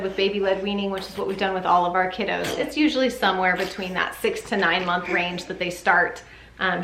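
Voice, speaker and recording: Female parenting vlogger, chatty and informal, bright tone, roomy echoing sound. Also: on the low side, vocal fry. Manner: chatty, informal, often says "like". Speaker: parenting vlogger giving a baby update. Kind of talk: casual chat to camera. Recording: echoey room with faint background noise.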